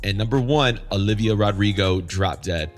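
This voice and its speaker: A man's voice: fairly low pitch, normal speed, soft background music. A man moderating a casual podcast chat in an informal, joking way. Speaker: podcast host, DJ.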